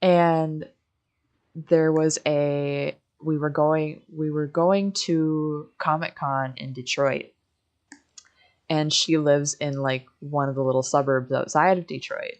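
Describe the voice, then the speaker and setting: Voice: mid-range voice, fairly even intonation, takes it slowly, muffled recording. A young adult from Michigan with an American accent, chatting casually on a livestream in a rambling, backtracking way full of repeated phrases. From Michigan, United States.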